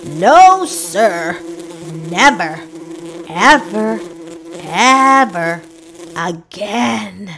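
A woman voicing an earnest, boastful pet dog in a children's picture book, with emphatic, drawn-out delivery. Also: mid-range voice, sing-song, a bit bright, slight background noise, heavily acted.

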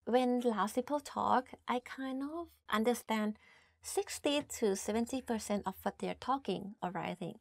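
A woman's voice: a little high, a little slow, gravelly, dry recording, studio quality. A young woman with a Thai accent from Thailand, teaching in a casual chat to camera and often saying "like". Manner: chatty, says "like" often, reads Thai phrases then translates. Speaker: language teacher. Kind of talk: casual language lesson to camera. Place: indoor studio, plain backdrop.

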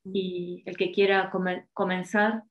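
A woman's voice: on the low side, level tone, slow, highs cut off. Woman moderator, home video call, reads chat questions, tags remarks with a questioning word. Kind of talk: moderating a panel discussion. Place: home room with posters.

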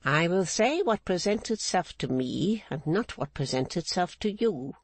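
Man doing a high, stern older woman, resolute and unbending, dry close sound. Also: expressive, no echo, full character voice. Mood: defiant. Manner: firm, measured, defiant.

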